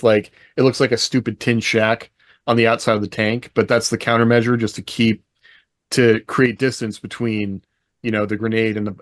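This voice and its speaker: A man's voice: medium pitch, no room sound, clean studio sound. A man co-hosting a podcast, talking informally and thinking aloud in a casual panel discussion. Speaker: podcast co-host.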